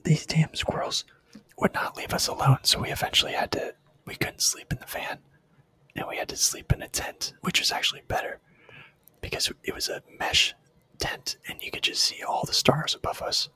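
A man whispering a rambling, profane camping story in a relaxed, self-amused way. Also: moderate pace, no room sound. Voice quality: breathy whisper.

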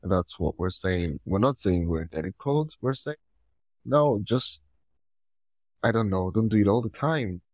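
A man's voice: on the low side, expressive, unhurried, darkish tone, no room sound. A man from Nigeria with a Nigerian accent, talking casually as co-host of a podcast. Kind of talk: casual conversation.

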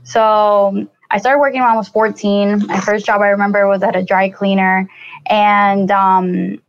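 A woman's voice: medium pitch, flat delivery, unhurried, clear, resonant tone, no echo. A young woman from Colombia, talking as a podcast guest. Her talk is loose and chatty and dotted with "like" and "you know". The sound is dry and a bit muffled. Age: 20s. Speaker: podcast guest, real estate investor. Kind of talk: casual interview storytelling.

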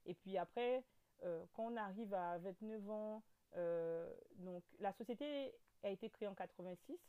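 A woman's voice: mid-range voice, normal speed, clean tone, narrow, tinny audio. Chatty Guadeloupean woman in her thirties. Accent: Guadeloupean French. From French overseas territory. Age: 30s. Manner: animated storytelling with many fillers and restarts. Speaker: guest. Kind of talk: casual interview chat.

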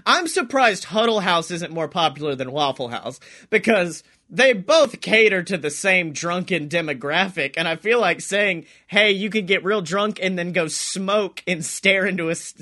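A man telling animated anecdotes in casual, sweary podcast banter. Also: high voice, measured pace, hardly any pauses. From Alabama, US. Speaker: podcast host. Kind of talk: casual comedic podcast chat.

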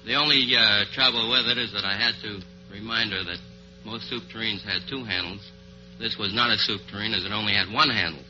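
A man's voice: on the low side, fairly even intonation, a little fast, bright, forceful tone, soft background music. A man answering as a radio quiz contestant, explaining things earnestly in rather formal wording. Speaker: contestant, antique dealer. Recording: dull old-time radio recording.

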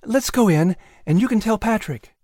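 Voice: medium pitch, expressive, moderate pace, warm tone, no echo, clean studio sound, pitched above the performer's own voice, lightly acted. An audiobook narrator voicing a caring, gracious colonial mother. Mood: relieved.

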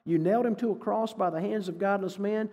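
A man's voice: high voice, fairly quick, mellow. A middle-aged man, telling a story as a guest speaker in a conversational sermon style. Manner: folksy, conversational storytelling with quoted dialogue. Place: church hall. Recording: echoey hall.

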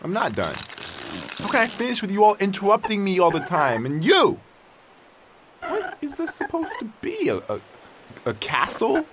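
A man's voice: high voice, wildly swooping pitch, normal speed, long pauses, noisy background. A man playing a sardonic, easily irritated young exiled tribesman recording an audio journal in a drama. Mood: annoyed. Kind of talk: audio drama acting, first-person journal monologue.

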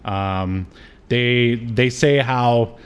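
A man's voice: mid-range voice, drawn-out words, clear, resonant tone. A man talks as a podcast co-host in a loose, conversational recap style. His delivery rambles along in a joking, informal way, with a mid-range voice. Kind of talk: casual comedic recap chat about a TV show.

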